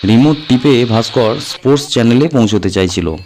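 A man's voice: mid-range voice, lively intonation, brisk, darkish tone, a little hiss or hum. A man reading a horror audio story as a narrator, in a dramatic scripted style. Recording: music under the voice. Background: background music.

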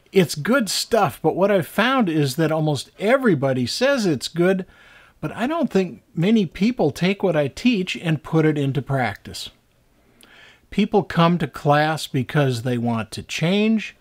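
A man in his sixties, an author and teacher, giving a scripted talk to camera in deliberate, emphatic phrases with pauses. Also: a little high. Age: 60s.

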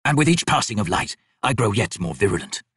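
A man voicing a bitter, chained, crippled god in an audiobook, rasping and wheezing, with slow, laboured, archaic speech. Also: lowish voice, lively intonation, fairly bright tone, no echo, studio quality. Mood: bitter, pained.

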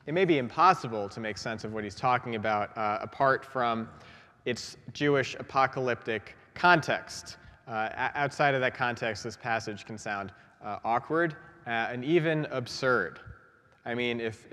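A man's voice: medium pitch, a bit bright. Male priest in his 30s, congested, chatty sermon delivery with filler words; pulpit mic, long church reverb. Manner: conversational, many fillers and restarts. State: congested from a head cold. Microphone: gooseneck pulpit mic.

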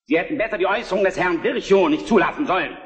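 An actor playing an indignant, unyielding Prussian officer and war minister in a German historical radio play, with stiff, emphatic oratory. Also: male voice, sits high, very fast, a bit bright. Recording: echoey hall with crowd noise behind.

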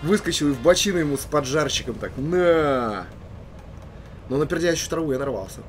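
Man, game commentator, sick with a cold, playful slangy delivery, animated pitch, music behind. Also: fairly quick. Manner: jokey, playful, loose slangy talk. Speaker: gaming video host. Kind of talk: casual let's play commentary. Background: game music and tank engine sounds.

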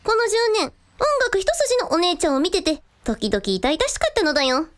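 A woman's voice: very high voice, big pitch swings, fairly quick, slight background noise. A woman playing a quirky, teasing young woman in a radio drama, with quaint mannered phrasing. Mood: concerned. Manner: quaint mannered sentence endings.

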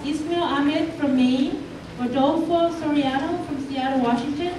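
Woman emcee in a booming hall. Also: measured pace, far from the mic, noisy background. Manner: clear, announcing names. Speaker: event emcee. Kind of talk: introducing speakers. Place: large meeting hall, indoors. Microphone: handheld mic at podium, far camera. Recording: echoey hall, recorded from afar.

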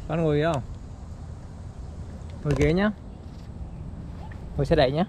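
A man's voice: on the high side, a little slow. A young father, coaxing and reassuring his small child on a mountain trail. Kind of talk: casual family chat. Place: outdoor mountain hiking trail. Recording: steady outdoor background noise.

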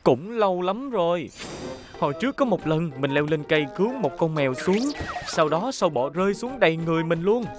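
A man's voice: on the high side, lively intonation, brisk, natural voice. A man voicing an earnest, heroic young police car robot leader in a cartoon dub. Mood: sheepish. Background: music.

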